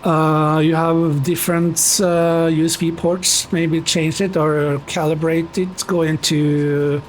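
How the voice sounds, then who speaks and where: A young man with a Norwegian accent chats to his stream at an unhurried pace, full of "uh"s and "um"s. His voice sits a little higher than most men's. Faint noise hums behind him. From Norway. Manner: relaxed, chatty, many fillers, answers chat. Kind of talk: casual chat while gaming.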